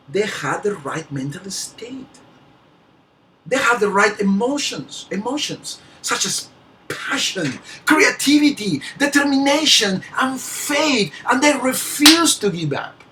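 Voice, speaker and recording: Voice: mid-range voice, bright, forceful tone, distant mic, a little hiss or hum, rough recording. A motivational speaker from Peru with a Peruvian Spanish accent and Spanish as a first language. They give an earnest, emphatic speech, laughing lightly and restarting words now and then.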